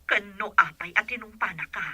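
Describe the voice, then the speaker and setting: Radio drama mother of grown sons, played by a woman: very bright, piercing edge, rough husk, motherly and questioning. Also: mid-range voice, a little fast, no echo. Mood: gently reasoning. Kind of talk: Ilocano radio drama acting.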